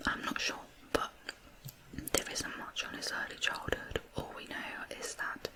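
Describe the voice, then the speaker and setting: A woman narrates true crime in ASMR style, in a soft, breathy, unhurried whisper. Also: rough recording.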